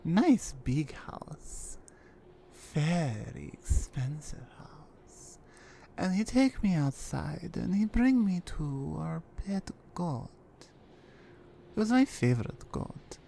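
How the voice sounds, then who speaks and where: A menacing Russian crime-family woman, played by a female performer speaks in a mellow, gravelly voice with a Russian accent. She is unhurried, controlled and seductive, as in a close ASMR roleplay. Faint background noise sits behind her. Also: deep voice, very animated, heavily acted. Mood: cold, reminiscing. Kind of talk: slow, intimate ASMR roleplay acting.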